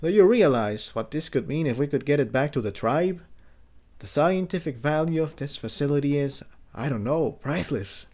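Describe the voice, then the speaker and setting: A man playing a sarcastic young male unicorn inventor in a storybook reading, quiet, dry and musing. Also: a little high, lively intonation, normal speed, close to an everyday voice. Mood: quiet excitement.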